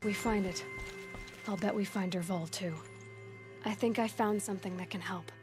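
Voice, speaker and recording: An actress playing a sympathetic, resolute young huntress with a gentle, earnest manner in a game cutscene. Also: female voice, mid-range voice, steady tone, moderate pace, lightly acted. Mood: focused. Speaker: actor in a video game cutscene. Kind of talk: dramatic game acting. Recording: music behind the dialogue.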